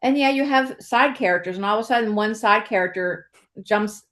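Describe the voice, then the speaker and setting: A woman's voice: medium pitch, a little fast, fairly bright tone. A woman hosting a casual conversational podcast interview, chatty and agreeable, talking in loose asides. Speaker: podcast host and author.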